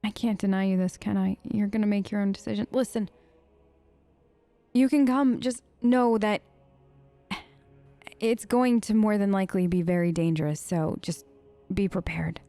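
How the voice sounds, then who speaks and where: A woman's voice: mid-range voice, fairly even intonation, moderate pace, long pauses, dark tone, no room sound, faint music behind. A woman streamer role-playing her character in a game, chatty and emotive, with hesitant fillers. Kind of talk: role-play let's play chat.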